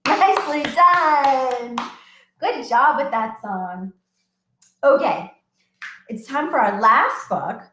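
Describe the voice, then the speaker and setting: A children's librarian, a woman, reading picture books aloud and coaxing young children to join in with an animated, sing-song manner. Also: high-pitched, takes it slowly, pauses often, echoey room, a little hiss or hum.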